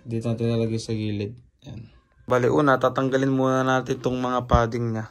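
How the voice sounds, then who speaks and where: Casual male vlogger with some creak. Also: mid-range voice, little pitch movement, a little fast. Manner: informal Tagalog-English mixing, talking while handling gear. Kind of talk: casual unboxing and setup demonstration.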